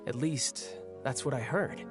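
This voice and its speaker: A man's voice: medium pitch, a little slow, close to an everyday voice. A man voicing a friendly, frank, protective young adventurer in a video game, talking in a casual, candid way. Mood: frank, probing. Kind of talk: anime-style video game voice acting. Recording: music faintly behind the voice.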